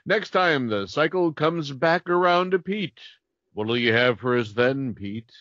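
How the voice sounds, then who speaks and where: A man hosts a podcast casually and jokingly, his pitch swinging in a lively way. The recording is close and dry, with a slightly muffled lo-fi tone. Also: unhurried, dry recording. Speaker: podcast co-host. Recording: dull lo-fi sound.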